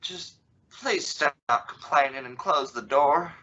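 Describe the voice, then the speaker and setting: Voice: mid-range voice, takes it slowly, a bit bright, dull audio. A woman playing a flustered, impatient middle-aged father in an old-time radio family comedy, stammering in exasperation. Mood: irritated. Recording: noisy recording with clicks.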